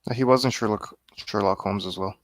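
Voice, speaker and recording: A man with a fairly low voice talks as a guest on a casual film review. He is blunt and matter-of-fact in how he puts his opinions. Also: brisk.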